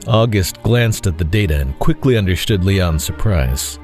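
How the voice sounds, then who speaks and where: A man reading scripted dramatic fiction as narrator, in a measured, solemn way. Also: low voice, animated, darkish tone. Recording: faint music bed behind voice.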